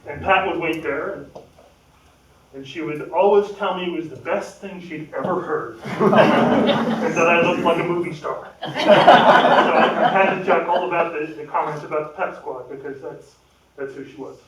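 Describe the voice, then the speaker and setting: A man's voice: fairly high pitch, a little slow, a loud crowd. A man sharing memories of a family friend at a memorial, hesitant and full of fillers, chuckling at times. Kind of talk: informal spoken remembrance. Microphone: no microphone, heard from a distance. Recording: echoey room, speaker far from the mic.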